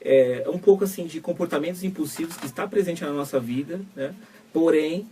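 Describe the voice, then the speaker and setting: Brazilian radio co-host guy, calm, little teasing, mic a bit far. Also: fairly high pitch, slight background noise. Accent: Brazilian Portuguese. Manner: teasing, measured, asks framing questions. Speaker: co-host psychologist. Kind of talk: live web radio talk show.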